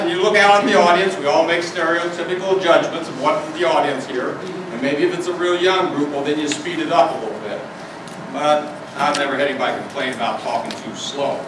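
An older man giving a plain-spoken, conversational evaluation of a contestant's speech to an audience. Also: high-pitched. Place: meeting hall with an audience. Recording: echoey hall, far from mic, noisy.

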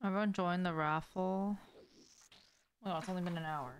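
A young woman with a slightly low voice talks casually as she streams a game from a room at home. She speaks in short, slangy reactions, as in an informal chat with viewers. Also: steady tone, moderate pace, slight background noise. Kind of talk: casual livestream chat while gaming. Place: small indoor room at home.

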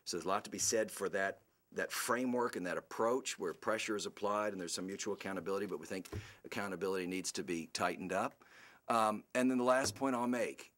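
A man, an advocacy group's CEO, giving a formal policy speech at a report launch, earnest and halting with many restarts. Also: fairly low pitch, fairly bright tone.

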